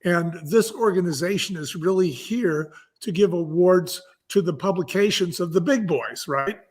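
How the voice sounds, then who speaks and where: A man's voice: high-pitched, normal speed, darkish tone. A man talking conversationally as an interview guest, explaining things emphatically like a storyteller and repeating key phrases. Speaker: audiobook producer and guest.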